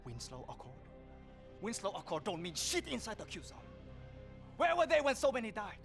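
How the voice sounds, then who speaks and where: Swaggering, bitter gangster over music. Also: male voice, sits high, wildly swooping pitch, normal speed, gravelly, fairly bright tone, full character voice. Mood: bitter, angry. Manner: taunting, boastful. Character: swaggering male gangster arms buyer, foul-mouthed and bitter. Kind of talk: video game cutscene acting. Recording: music under the voice.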